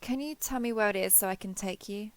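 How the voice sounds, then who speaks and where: A woman playing a giant friendly alien robot soldier in an audio roleplay, gentle, reassuring and a little awkward with the listener. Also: mid-range voice, a little fast, smooth, no echo, lightly acted. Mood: friendly, earnest. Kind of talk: scripted roleplay audio drama addressed to listener.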